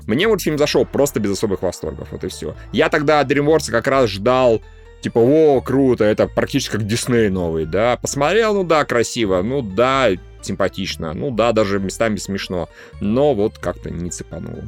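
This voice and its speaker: A man chats as a podcast co-host over faint music. His pitch moves in a lively way as he gives blunt opinions, teases his friends and drops casual swear words. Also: normal speed. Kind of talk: casual podcast banter about animation. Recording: music behind the talk.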